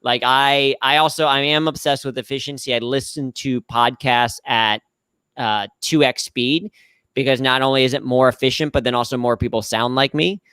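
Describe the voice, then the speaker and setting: Slightly nasal man, smooth and dry. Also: medium pitch, steady tone, moderate pace, sharp tone, no echo. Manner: upbeat, explanatory, self-deprecating. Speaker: guest, humor trainer. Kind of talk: conversational interview answers.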